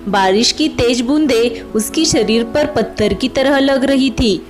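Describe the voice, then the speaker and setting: A woman's voice: on the high side, a little slow, music in the background. A woman reading scripted narration for a children's cartoon moral story.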